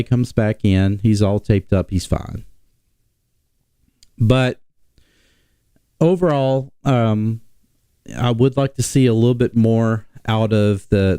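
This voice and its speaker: Slow-talking man, stuffy nasal voice. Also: fairly low pitch, warm tone, clear, resonant tone, no echo. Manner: rambling, halting, many ums and restarts. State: sick, nasal. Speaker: podcast host. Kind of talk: casual sports talk stream.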